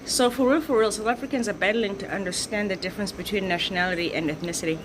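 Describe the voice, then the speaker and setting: A woman's voice: mid-range voice, fast talker, background noise. A woman giving commentary in a lecturing way, repeating her points and checking in with "right?". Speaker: social commentator. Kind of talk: explanatory commentary.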